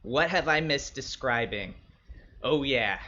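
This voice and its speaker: A man's voice: mid-range voice, expressive, takes it slowly, pushed and bright, dull audio. A man reading a first-person short story as a wary young male escort of the 1960s, wry and blunt. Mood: wry, reflective.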